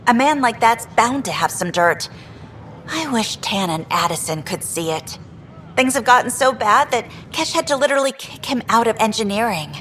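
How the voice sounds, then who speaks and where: An exasperated station worker. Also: female voice, on the high side, animated, normal speed, fairly bright tone, a little hiss or hum. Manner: exasperated, venting, dry humor. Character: harried female station worker, frustrated but wry. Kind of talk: video game dialogue.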